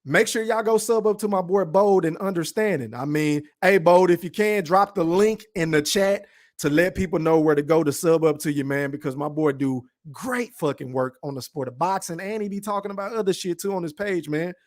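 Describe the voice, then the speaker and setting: A man's voice: fairly high pitch, brisk. A man from Dallas-Fort Worth with a Texan accent, hosting a podcast livestream, reading the chat and giving blunt, profane opinions. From Texas, Dallas-Fort Worth. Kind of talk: casual livestream rant.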